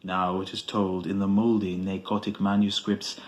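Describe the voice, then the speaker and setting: A man narrating a scripted horror story in a solemn, measured, dramatic way, as a podcast storyteller. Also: low-pitched, flat delivery. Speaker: podcast host reading a story. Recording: music behind the voice.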